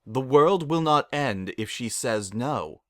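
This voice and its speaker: A man's voice: medium pitch, animated, slow, no room sound, clean studio sound, voice pushed up. A man voicing a stern, imperious female pony princess of the night with formal, archaic, commanding speech. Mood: measured, regal.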